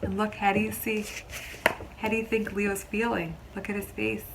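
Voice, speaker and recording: A woman teacher reading a picture book aloud to young children, expressively, pausing to explain simply and ask questions. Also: mid-range voice, gravelly, very noisy. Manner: expressive storybook delivery, explains simply, pauses to question listeners.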